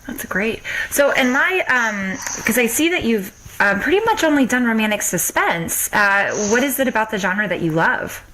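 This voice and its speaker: A woman's voice: a little high, animated, normal speed, few pauses, sharp tone, poor audio. A woman hosting a podcast, interviewing casually in an effusive, encouraging way. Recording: clear background noise, clicks.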